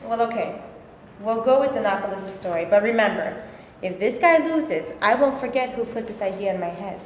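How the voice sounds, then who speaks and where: An actress reading the part of a newspaper editor, blunt and skeptical. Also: female voice, mid-range voice, lively intonation, normal speed, slight background noise, close to an everyday voice. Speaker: actor in a staged reading. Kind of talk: staged reading. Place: large rehearsal studio. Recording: echoey studio.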